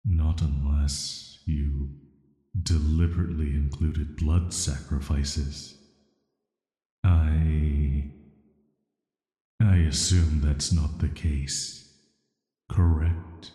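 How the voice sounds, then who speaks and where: Male actor as immortal cursed villain, extremely low voice, soft flat delivery, hesitant pauses, echoing space, audio roleplay. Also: full character voice. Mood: calm, pondering. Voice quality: very deep, soft, atmospheric. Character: ancient banished male villain, immortal cursed being, calm and flirty. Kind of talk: slow scripted roleplay audio drama monologue. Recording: echoey, long cavernous reverb.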